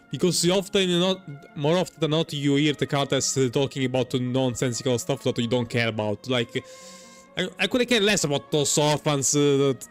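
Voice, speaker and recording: A man doing casual live gameplay commentary, halting and repeating words as he searches for phrasing. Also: medium pitch, a bit bright. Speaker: game streamer. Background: faint game music.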